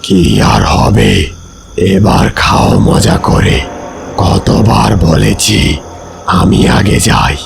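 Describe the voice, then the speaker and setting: A man voicing a deep, gravelly cartoon fox ghost. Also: animated, a little hiss or hum, full character voice. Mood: indignant, blaming. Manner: argumentative, drawn-out delivery. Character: quarrelsome, greedy, blaming male fox ghost. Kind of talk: children's cartoon voice acting. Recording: music under the voice.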